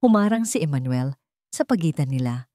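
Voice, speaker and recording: A woman's voice: deep voice, expressive, moderate pace, warm tone, no echo, clean studio sound. A woman with a Filipino accent telling a story in dramatic scripted narration.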